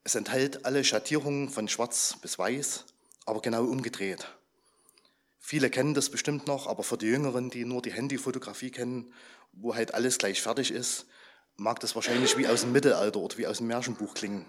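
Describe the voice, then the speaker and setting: A slightly hoarse man who is under the weather gives a chatty, informal talk to a gathered congregation and keeps asking them questions. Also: medium pitch, fairly quick. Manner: chatty, asks the audience questions.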